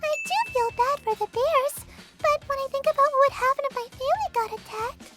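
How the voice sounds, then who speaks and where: Kindly older manga mother-in-law, voiced by a woman: extremely high, gentle, lilting, music clearly underneath. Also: lively intonation, brisk. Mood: gentle, concerned. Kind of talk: manga dub character acting. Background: background music.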